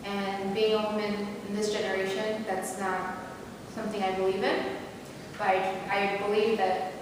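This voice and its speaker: A woman's voice: mid-range voice, level tone, normal speed, noisy background. A young woman student, an interviewee earnestly describing her family duties. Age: young adult. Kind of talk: personal testimony. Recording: reverberant hall from far off.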